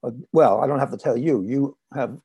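A man hosting a podcast, reading his intro in a measured, earnest way and then interviewing his guest. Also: medium pitch, dark tone, slightly rough, no room sound. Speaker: podcast host.

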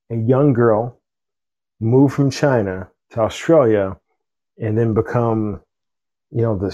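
Low-voiced, mellow male podcast host. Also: low-quality recording. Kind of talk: scripted intro, then casual interview.

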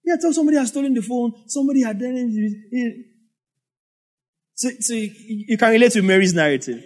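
A man's voice: very high-pitched, animated, fairly quick. A man with a Nigerian English accent preaching a sermon as a pastor, telling the story in a conversational way with asides.

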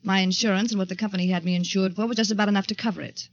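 An actress playing a cynical, scornful femme fatale with a brisk, sardonic, hard-edged manner in 1940s radio drama style. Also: female voice, mid-range voice, steady tone, lightly acted. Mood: coldly confessing. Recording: muffled 1940s radio recording.

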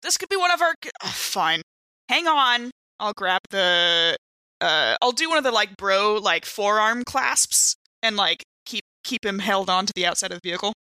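A woman plays a tabletop game in a bright voice with lively, swooping intonation. She reacts playfully and improvises casually, recorded close and dry. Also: medium pitch, moderate pace, clear, resonant tone, no echo, rough recording. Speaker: tabletop game player on a podcast. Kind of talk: casual improvised role-play chat.

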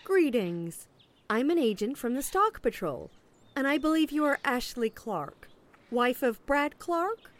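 A woman's voice: sits high, sing-song, a little slow, a little hiss or hum. A woman playing a relentlessly upbeat, over-polite government agent in an audio play. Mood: bright, officious. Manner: over-polite, saleswoman-like cheer.

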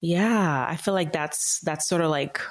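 A woman's voice: low voice, measured pace. Chatty young Nigerian-Canadian woman. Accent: Nigerian with Canadian mix. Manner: chatty, many "like" fillers, laughs often. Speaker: guest. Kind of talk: casual interview chat.

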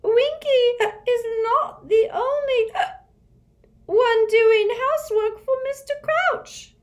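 A woman voicing a drunk, tearful female house elf who squeaks and gasps between words. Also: very slow, full character voice. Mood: drunk, weepy. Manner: squeaky, sobbing, slurred, gasping.

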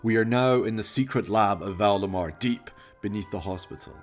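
A man reading scripted narration for a horror radio play in a theatrical, deliberate storytelling manner. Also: on the low side, faint music behind.

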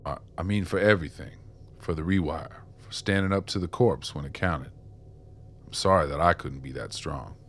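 Man playing weary, very deep-voiced ex-informant. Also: normal speed, fairly bright tone. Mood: grateful, solemn. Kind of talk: radio drama acting.

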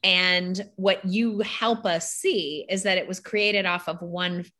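A woman's voice: fairly low pitch, fairly even intonation, slow, pushed and bright. A woman hosting a conversational podcast, talking earnestly and reflectively in flowing asides. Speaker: podcast host. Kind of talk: casual conversation.

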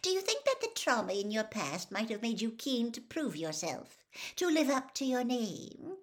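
A woman voicing a pushy, gossip-hungry female journalist who fires brisk, probing questions in an audiobook. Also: fairly high pitch, sing-song, voice pushed up. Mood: prying. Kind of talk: audiobook character voice.

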